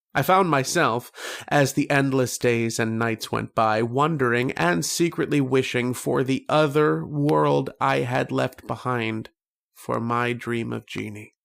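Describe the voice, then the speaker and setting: An actor playing a tormented, homesick man trapped in a dream, brooding and halting in an old-time radio horror drama. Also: medium pitch, creaky, a bit bright. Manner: brooding, halting, poetic delivery.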